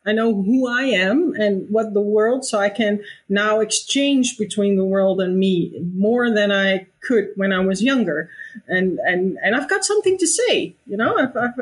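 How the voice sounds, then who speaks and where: A woman in her fifties speaks in an unhurried, reflective way. She often restarts her sentences and laughs easily as she talks. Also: mid-range voice, rough recording. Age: 50s. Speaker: guest. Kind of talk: conversational interview.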